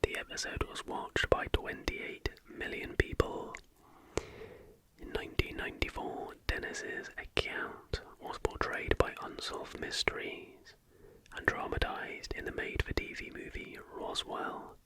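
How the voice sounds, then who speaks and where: Male ASMR host, unvoiced breathy whisper, hushed and deliberate, close mic, scripted factual reading. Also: extremely high pitch, very animated, rough recording. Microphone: close recording microphone.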